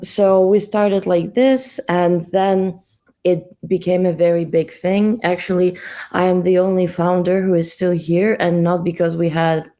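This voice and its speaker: Low, gravelly, hesitant woman. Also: mellow. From Russia. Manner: hesitant, many fillers and restarts. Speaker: guest, NGO co-founder. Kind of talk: interview answers.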